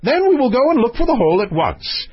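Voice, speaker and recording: A man's voice: very high voice, big pitch swings, quick pace, breathy edge, muffled recording, voice pushed up, exaggerated voice. A man voicing a hot-tempered, pompous old king in a storybook, with blustering, imperious exclamations. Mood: grudging, brusque. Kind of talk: storybook character voice.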